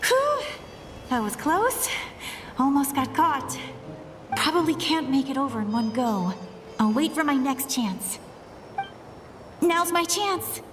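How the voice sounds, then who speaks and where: A woman's voice: sits high, big pitch swings, slow pace, pauses often, a bit bright, faint music behind, heavily acted. An actress playing a spirited young woman in a video game, talking herself through sneaking past guards.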